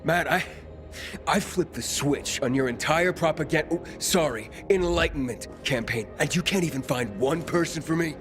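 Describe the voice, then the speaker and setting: A man's voice: on the high side, normal speed, music in the background, natural voice. An actor playing an impatient, weary young male survivor in a video game, blunt and sardonic. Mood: frustrated, angry. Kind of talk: cinematic game dialogue.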